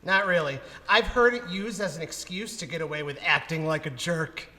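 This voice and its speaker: Man playing a bright, high cheeky talking wolf. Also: moderate pace. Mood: cocky. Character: cheeky talking wolf, funny animal sidekick. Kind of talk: live radio comedy acting. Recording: noisy echoey hall with long reverb.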